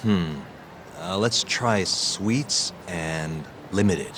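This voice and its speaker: An actor playing an earnest, easily exasperated young detective in a video game, with a dry, casual delivery. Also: male voice, sits low, very slow, noisy background. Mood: casual, determined. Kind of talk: video game cutscene dialogue.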